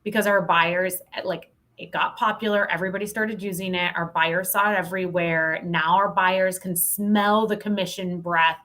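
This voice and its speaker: A woman's voice: lowish voice, steady tone, normal speed, hardly any pauses, a bit bright. A woman talking candidly and emphatically as a podcast guest, giving informal expert advice in a casual conversation.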